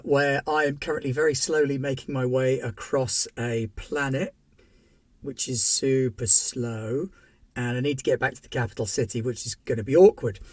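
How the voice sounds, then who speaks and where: A man's voice: medium pitch, dry recording. A man hosting the wrap-up of a tabletop game stream, joking about next week's games in a playful, bouncy way. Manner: playful, jokey, bouncy delivery. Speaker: game master and channel host. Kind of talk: casual show wrap-up chat.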